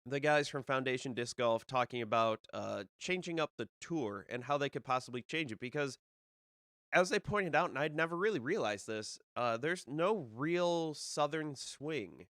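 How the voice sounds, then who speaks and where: A man's voice: mid-range voice, expressive, moderate pace, a bit bright, dry recording, studio quality. Slightly nasal man hosting a show. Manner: rambling, with many fillers and restarts. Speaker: disc golf podcast host. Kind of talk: casual solo live-stream talk.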